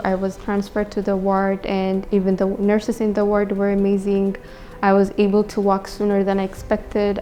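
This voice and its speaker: Flat, soft-edged young Ethiopian woman. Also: mid-range voice. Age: young adult. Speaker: patient giving testimonial. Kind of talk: personal testimonial to camera.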